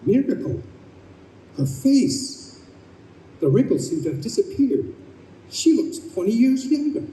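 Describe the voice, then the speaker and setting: A man playing a gentle, consoling, wonderstruck young fiancé in an old-time radio play. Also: sits high, very animated, measured pace, long pauses. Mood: awed wonder. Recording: echoey hall, distant microphone.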